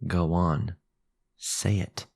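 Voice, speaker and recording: A man voicing a possessive, domineering vampire lord in an ASMR audio drama, with a husky, whispery voice and a slow, menacing delivery. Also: deep voice, level tone, a little mellow, dry recording, clean studio sound, full character voice.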